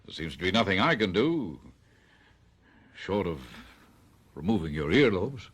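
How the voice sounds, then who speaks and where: An actor playing a courteous, puzzled doctor in a radio mystery drama, speaking formally with careful hesitations. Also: male voice, low voice, big pitch swings, measured pace, a bit bright, full character voice. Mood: puzzled, grave.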